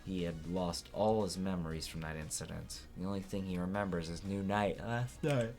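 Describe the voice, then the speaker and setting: A man's voice: low voice, steady tone, moderate pace, a little mellow, faint music behind. A man reading courtroom game dialogue aloud as a let's player, with casual asides.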